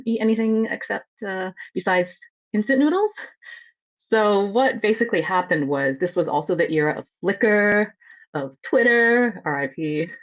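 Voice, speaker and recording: A woman from Hong Kong telling personal stories conversationally as a guest, chatty and expressive. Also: lowish voice, highs cut off. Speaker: food journalist guest.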